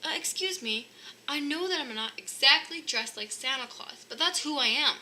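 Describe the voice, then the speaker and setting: A woman's voice: sits high, expressive, moderate pace, sharp tone, pitched above the performer's own voice, exaggerated voice. A woman voicing an exasperated, flustered old Santa Claus in a children's storybook.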